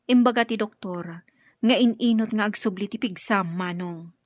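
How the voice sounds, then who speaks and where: An actress playing a protective, earnest younger sister in an audio drama. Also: female voice, mid-range voice, moderate pace, dry recording, lo-fi sound, natural voice. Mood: worried.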